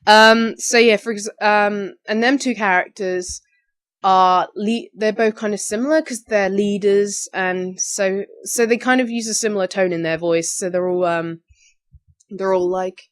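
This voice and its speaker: Young woman chatting casually, slightly tired. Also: medium pitch. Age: young adult, about 18. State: slightly tired, recently woken. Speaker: amateur voice actor. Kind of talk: casual question-and-answer vlog.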